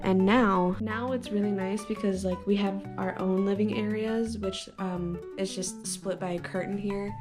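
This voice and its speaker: A young woman in her twenties chats to her camera in an easygoing, rambling way, dropping "like" into her sentences as she goes. Her voice sits at an ordinary middle pitch. Clear guitar music plays underneath her talk. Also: fairly even intonation, measured pace, few pauses. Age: 20s. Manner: chatty, rambling, frequent "like" fillers. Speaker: lifestyle vlogger. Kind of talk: casual chat to camera and home tour. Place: her own home, indoor living area.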